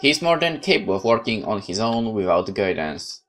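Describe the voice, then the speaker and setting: A man's voice: lowish voice, sing-song, moderate pace, fairly bright tone. A man reading a horror visual novel aloud as a let's play host, dramatic narration with casual asides.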